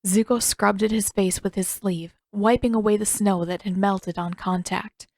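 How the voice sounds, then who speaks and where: A woman's voice: medium pitch, fairly even intonation, normal speed, darkish tone, dry recording. A woman reading scripted fiction as an audiobook narrator.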